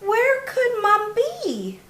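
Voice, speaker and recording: A woman's voice: very high-pitched, huge pitch swings, drawn-out words, pitched above the performer's own voice, heavily acted. A woman voicing a newborn little girl spider in a storybook, asking plaintive, wondering questions as she searches for her mother. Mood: tearful, plaintive. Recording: roomy, echoey indoor sound.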